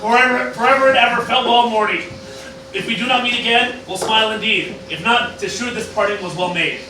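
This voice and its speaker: A man acts out a boozy old scientist grandpa declaiming mock Shakespeare in a high-pitched voice. The amateur radio play sounds very echoey and a little distant, with clear noise. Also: a little fast. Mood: solemn, grandiose. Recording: roomy, distant, noisy amateur room recording.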